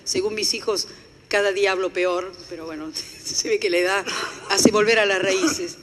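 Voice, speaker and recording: A woman's voice: mid-range voice, steady tone, moderate pace, fairly bright tone, slight background noise. Older Italian woman speaker, earnest lecture tone, echoing hall. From Italy. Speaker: conference speaker. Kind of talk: formal lecture. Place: conference hall. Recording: echoey hall.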